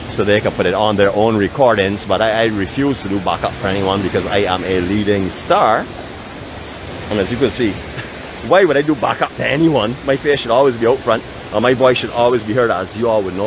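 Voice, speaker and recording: Chatty Barbadian man on a street walk. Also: fairly low pitch. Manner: chatty, joking self-mockery. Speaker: vlog host giving a live walking tour. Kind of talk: live walking-tour vlog narration. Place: outdoors by a beach boardwalk with traffic. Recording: outdoor street noise behind.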